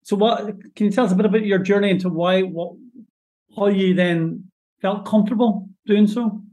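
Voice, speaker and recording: A man's voice: high-pitched, fairly even intonation, moderate pace, warm tone, low-quality recording. Man asking long, rambling interview questions. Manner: rambling, self-correcting questions. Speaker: interview host. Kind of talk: hosting a panel interview.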